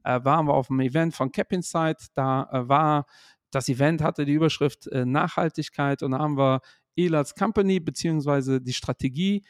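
A man's voice: on the high side, moderate pace, no room sound, clean studio sound. A man hosting an investing podcast from his home office, with upbeat greetings and a lot of fillers. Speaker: host. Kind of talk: podcast chat.

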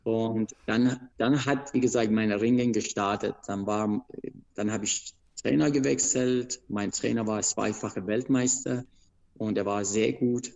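Iranian guy telling his wrestling story, raspy gravelly voice, broken german, lots of restarts. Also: lowish voice, fairly even intonation, measured pace, darkish tone, dull audio. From Iran. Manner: broken grammar, frequent restarts and hesitations.

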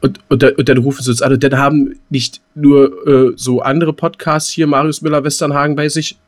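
A man's voice: medium pitch, level tone, brisk. A man co-hosting a podcast in casual banter, teasing and often restarting his phrases. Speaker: podcast co-host. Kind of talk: casual banter between friends.

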